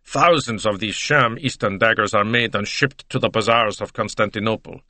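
A man's voice: on the low side, normal speed, pushed and bright. A man voicing an educated, assured doctor in an audiobook, reasonable and explanatory. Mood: reasoning.

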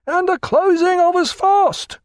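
A man voicing a male ship's lookout who hails slowly and without emphasis. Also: very high-pitched, no echo, studio quality, pitched above the performer's own voice. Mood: calling out. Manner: slow, unemphatic hailing. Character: male lookout hailing from high aloft, unhurried.

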